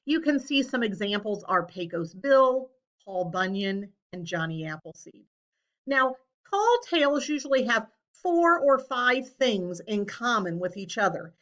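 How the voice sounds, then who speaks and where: A woman speaking as an elementary teacher, explaining a lesson to children in a deliberate way and stressing key words. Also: medium pitch, sing-song, fairly bright tone, dull audio.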